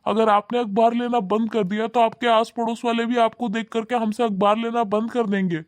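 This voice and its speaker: A man's voice: very high voice, little pitch movement, a little fast, dry recording. A man voicing a humble, hardworking young male newspaper seller in a cartoon, talking politely and deferentially. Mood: pleading. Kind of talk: cartoon voice acting.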